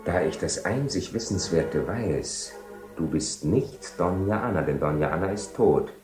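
A low, sweeping male voice in a roomy studio, a proud seducer from a 1970 radio drama. He is imperious and formal, speaking with theatrical range and little patience. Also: expressive, unhurried, darkish tone, faint music behind. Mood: guarded, ironic. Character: Don Juan, proud impatient seducer, bankrupt nobleman. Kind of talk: 1970 German radio play acting.

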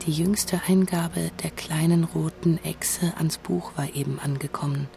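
A woman's voice: deep voice, fairly even intonation, unhurried, vocal fry, dark tone, slight background noise. A woman reading scripted literary narration as a narrator in a radio drama.

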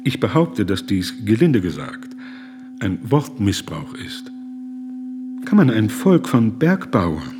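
An actor playing an earnest, indignant German humanitarian, speaking formally, deliberately and with moral urgency in a radio play. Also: male voice, on the low side, very animated, noisy background. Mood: grave indignation.

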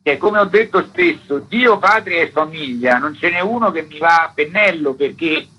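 A man with a fairly high, sharp-edged and husky voice gives a radio interview about his own stage practice. He talks at length in an emphatic, reflective way, often clipping a point shut with a short tag word. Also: lively intonation, normal speed, dull audio. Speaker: stage performer and theatre maker.

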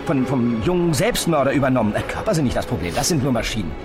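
An actor playing a stubborn, irritable police detective in a radio play, blunt and scornful. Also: male voice, mid-range voice, animated, music in the background, lightly acted. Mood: indignant. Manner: blunt and scornful, quick to anger. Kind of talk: German radio play acting.

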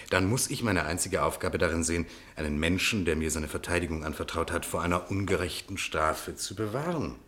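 A man's voice: sits low, fairly bright tone. A man playing an earnest, insistent defence lawyer in a radio play, speaking formally and arguing articulately. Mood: courteous, firm. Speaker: actor in a radio drama. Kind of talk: German radio play dialogue.

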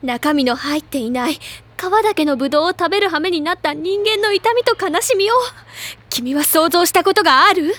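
High-pitched literature-club schoolgirl, excitable and self-assured, declamatory anime drama delivery. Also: brisk. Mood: indignant. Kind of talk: anime-style audio drama acting.

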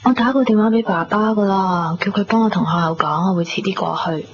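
A woman's voice: medium pitch, brisk, a little hiss or hum, lightly acted. An actress playing a devoted, playful young girlfriend in a radio drama, with a Hong Kong Cantonese accent. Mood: hesitant, playful. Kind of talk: 1990s radio drama acting. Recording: muffled 1990s radio, loud music behind.

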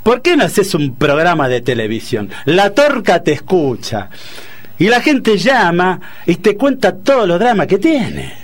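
A man acting a stubborn, self-pitying middle-aged husband with a Rioplatense Argentine accent in a comic radio play, grumbling and excitable. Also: sits high, unhurried, heavily acted. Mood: defensive, sarcastic.

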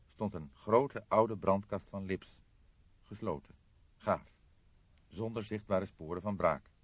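A man's voice: fairly low pitch, husky. A man narrating a crime novel as a scripted, dramatic audiobook reading. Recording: roomy echo, slightly muffled audio.

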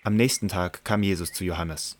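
Deep-voiced male scripture narrator. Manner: measured, solemn reading.

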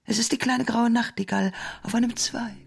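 A woman narrating a fairy tale in an experimental radio play, theatrical and deliberate, sometimes dropping to a hushed near-whisper. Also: fairly high pitch, steady tone, vocal fry. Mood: hushed, grave. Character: storyteller of a dark, dreamlike fairy tale. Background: music.

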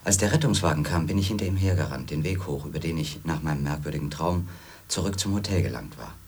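A disillusioned ex-editor in a radio drama, his voice low. He is brooding and bitter, pressing his points with stubborn insistence. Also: lively intonation, fast, darkish tone, breathy edge, exaggerated voice. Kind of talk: German radio drama acting with inner monologue.

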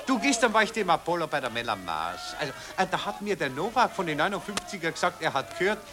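A jovial Viennese officer. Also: male voice, on the high side, very animated, quick pace. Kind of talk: radio play acting.

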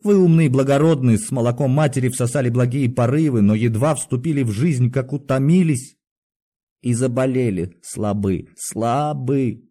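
A man's voice: medium pitch, moderate pace, warm tone, natural voice. A man voicing a cultured, weak-willed aging doctor in an audiobook, pleading and exclaiming in educated speech. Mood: bitter, despondent.

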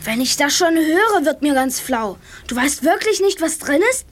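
Chubby boy sidekick, children's radio play: high voice, whiny, cheeky, forever grumbling. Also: expressive, normal speed. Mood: indignant, whiny. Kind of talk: German children's audio drama acting.

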